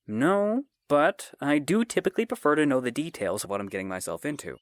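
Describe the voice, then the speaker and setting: A man's voice: a little high, very animated, fairly quick, dry recording, studio quality. A man playing a wry, world-weary fantasy swordsman for hire in an audio drama. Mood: wry, incredulous. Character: gruff, wry human swordsman, hired fantasy bodyguard.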